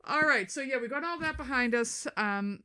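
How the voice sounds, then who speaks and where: Blunt middle-aged woman, bright tone. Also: high-pitched, normal speed, a little hiss or hum. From Boston area, USA. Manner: blunt, swears freely, laughs often. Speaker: podcast co-host. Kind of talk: casual chat.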